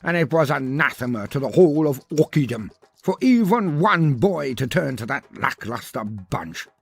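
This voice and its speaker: A man reading scripted fantasy narration as a storyteller, in a pompous, wordy manner with dramatic pauses. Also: medium pitch, sing-song, gravelly, slightly rough, no echo, soft background music.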